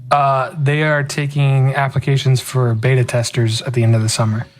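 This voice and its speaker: A man co-hosting a podcast, chatting casually in a relaxed way, with frequent fillers. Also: medium pitch, normal speed. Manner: relaxed, easygoing, lots of "um" and "like". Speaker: podcast co-host. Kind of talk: casual chat.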